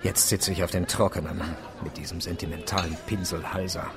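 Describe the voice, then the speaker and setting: An actor playing a callous, pompous, sardonic big-game hunter and writer in a radio drama. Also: male voice, sits low, level tone, brisk, over music. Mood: sardonic, sour. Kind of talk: theatrical radio drama acting.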